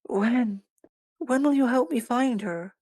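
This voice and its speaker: A woman's voice: fairly high pitch, slow, darkish tone, no room sound, clean studio sound. A woman at a screenplay table read playing an earnest young boy who is homesick and determined. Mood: sad, pleading.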